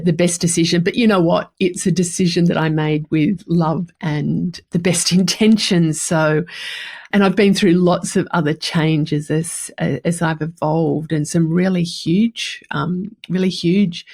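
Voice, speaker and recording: A woman's voice: fairly low pitch, vocal fry, a little mellow. A woman in her fifties with an Australian accent, reflecting casually and candidly as a podcast host, chatty and repeating words for emphasis. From country New South Wales, Australia. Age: 50s. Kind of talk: personal reflective monologue, casual.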